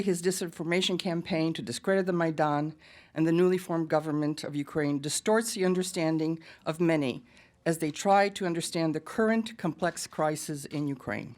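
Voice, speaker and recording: American woman at a podium mic, lowish voice, earnest and pointed. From United States. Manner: earnest and emphatic, with pointed asides. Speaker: guest speaker at a press event. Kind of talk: prepared speech at a podium. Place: press club hall.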